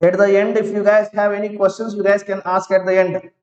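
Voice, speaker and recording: A man teaching an online class, talking slowly, repeating each phrase and checking that students follow. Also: high voice, level tone, a little mellow. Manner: slow, repeats each phrase several times; asks students to confirm they follow. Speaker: IT trainer. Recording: roomy, dull lo-fi online class audio.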